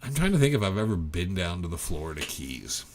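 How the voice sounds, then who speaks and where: A man's voice: low voice, big pitch swings, fairly quick, darkish tone, slight background noise. A middle-aged man hosting a livestream, chatting casually and reading out viewer comments in a rambling, self-interrupting way. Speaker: livestream host.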